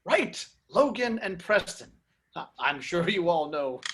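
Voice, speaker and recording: A man's voice: high-pitched, very animated, fairly bright tone, exaggerated voice. A man playing a brash, flustered Hollywood studio boss who stammers his way through a script table read. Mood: glib. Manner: stammering, fast-switching, salesman-like.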